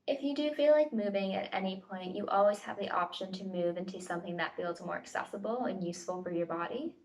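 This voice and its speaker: Distant-miked woman guiding a meditation. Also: medium pitch. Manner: gentle tentative invitations, unhurried pauses. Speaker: meditation facilitator. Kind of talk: guided body scan meditation instruction. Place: at home, indoors. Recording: home recording, distant mic.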